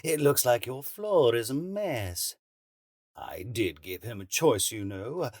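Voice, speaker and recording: An actor in an audio drama playing a cruel, politely menacing interrogator with a mock-courteous, deliberate delivery. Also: male voice, medium pitch, very animated, a little mellow, no echo, studio quality, heavily acted. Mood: mock-regretful menace.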